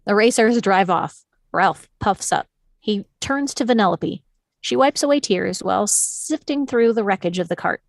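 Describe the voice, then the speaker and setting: A woman's voice: medium pitch, animated, normal speed, creaky, no echo. Woman narrator, dry close sound. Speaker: narrator reading stage directions. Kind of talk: scripted narration.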